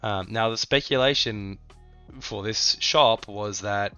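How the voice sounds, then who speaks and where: A man's voice: on the low side, slow pace, sharp tone, smooth, muffled recording, faint music behind. A man hosting a mobile game channel, chatting loosely with frequent ums and restarts while stuffy from a cold. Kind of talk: casual gaming update chat.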